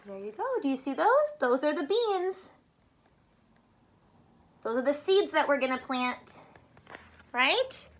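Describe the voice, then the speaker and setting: A woman teacher reading a picture book aloud to young children, pausing to explain simply and ask questions, drawing out words for emphasis. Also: high voice, very animated.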